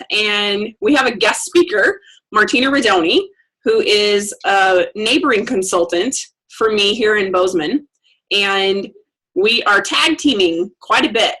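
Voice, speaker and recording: Woman, host, crisp bright tone, breathy edge, upbeat welcoming introduction. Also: medium pitch, rough recording. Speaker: host of an online presentation.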